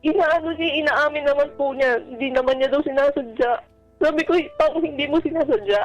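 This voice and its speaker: A woman caller telling her personal story on a radio advice show in a polite, halting, earnest way. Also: high-pitched. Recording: dull lo-fi phone-in line with music. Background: background music.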